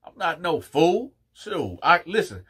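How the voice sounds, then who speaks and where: A young man telling a story to camera, animated and emphatic with crude humor, addressing his viewers directly on a lapel mic in a small room. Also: on the high side, unhurried, husky. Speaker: YouTube storyteller. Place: small indoor room in front of a fabric backdrop.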